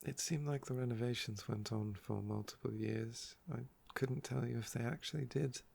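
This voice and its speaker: A man speaks softly at a middling pitch, with heavy creak and a croaky edge. He rambles casually in an ASMR style, unhurried and a little wistful as he tells personal stories. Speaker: ASMR video creator.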